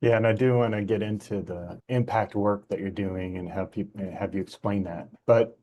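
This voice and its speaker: A man's voice: fairly low pitch, steady tone, moderate pace, lo-fi sound, slight background noise. A man hosting an interview, asking casual, loosely phrased, exploratory questions. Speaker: interviewer, podcast host.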